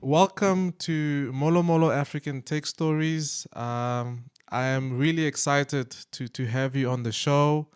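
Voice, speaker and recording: A male podcast host with a smooth, resonant voice interviews his guest. He speaks hesitantly, restarting phrases and filling pauses with um and uh. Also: on the high side, faint music behind. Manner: hesitant, many restarts and fillers. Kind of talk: conversational interview.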